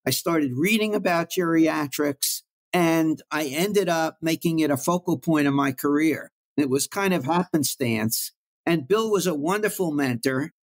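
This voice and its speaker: An older man from Brooklyn recalls his working life as an interview guest. His voice sits a little high, and he speaks in an anecdotal, reflective way. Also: unhurried. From Brooklyn, New York. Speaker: guest oncologist. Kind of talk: storytelling in an interview.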